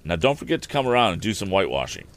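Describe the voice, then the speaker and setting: A man voicing an eager young inventor, brisk and helpful. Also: low voice, very animated, a bit bright, heavily acted.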